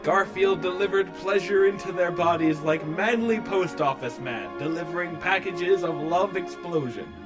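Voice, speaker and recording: A man narrates in a somewhat raised register, treating comic prose with mock-serious theatrical weight. Music plays clearly underneath his reading. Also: high voice, normal speed, hardly any pauses. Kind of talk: dramatic comedic reading of fan fiction.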